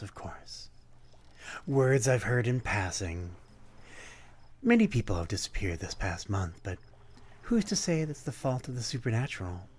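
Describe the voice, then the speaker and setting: A man playing a suave, melancholy vampire stranger in an ASMR roleplay, speaking in a hushed, courtly, deliberate way with dramatic pauses. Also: lowish voice, husky. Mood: coy, sly. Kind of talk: ASMR roleplay, slow theatrical monologue.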